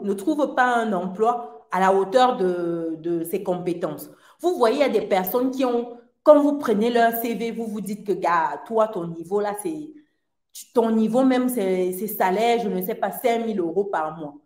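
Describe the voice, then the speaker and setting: A woman giving an earnest personal testimony in casual talk, often laughing lightly between phrases and filling pauses. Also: mid-range voice, a little mellow. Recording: roomy echo.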